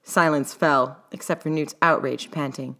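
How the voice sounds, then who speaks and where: A woman's voice: low-pitched, steady tone, moderate pace, bright, forceful tone. A woman reading her own fiction aloud as scripted story narration.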